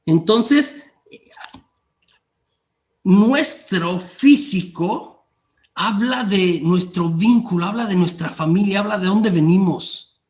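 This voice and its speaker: High-voiced Mexican man lecturing. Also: slow, long pauses. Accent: Mexican, mixed regional. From Mexico (Guanajuato). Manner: repeats phrases, checks in with listeners. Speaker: lecturer on theological anthropology. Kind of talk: classroom lecture.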